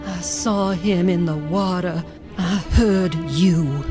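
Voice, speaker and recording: An actress playing a fragile, betrayed wife in an audio drama, pleading in a tremulous, melodramatic way. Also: female voice, on the low side, takes it slowly, over music. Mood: anguished accusing.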